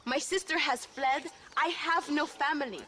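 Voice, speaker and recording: A woman's voice: high-pitched, moderate pace, sharp tone. An actress playing a passionate, earnest young Mexican woman revolutionary in a video game, speaking formally and emphatically with a Mexican accent. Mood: grieving, pleading. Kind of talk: dramatic video game cutscene acting.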